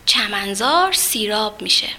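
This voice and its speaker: A woman voicing a gentle, wistful young cloud in a children's storybook, talking softly to itself. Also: medium pitch, expressive, full character voice. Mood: musing. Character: gentle cloud longing to rain, sad.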